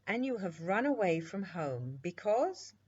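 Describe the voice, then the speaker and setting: A woman voicing a merry, kind, ageless witch from a children's storybook, gently amused, on a muffled recording. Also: mid-range voice, big pitch swings, a little slow, slightly rough.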